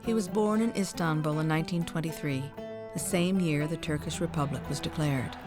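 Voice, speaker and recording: A woman reads scripted documentary narration in a measured, formal storytelling manner. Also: low-pitched, music in the background.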